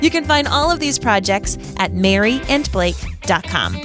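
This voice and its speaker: A woman's voice: mid-range voice, lively intonation, normal speed, music in the background. A woman with a Rhode Island accent hosting a podcast from her basement, chatty, bubbly and self-deprecating. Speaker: podcast host. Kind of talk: casual podcast chat. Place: home basement. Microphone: studio microphone.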